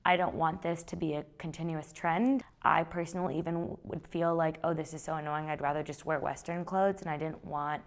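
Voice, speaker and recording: Deep-voiced young woman founder. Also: a little fast, creaky, few pauses. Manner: relaxed, conversational. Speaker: clothing company founder. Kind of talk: interview, personal story.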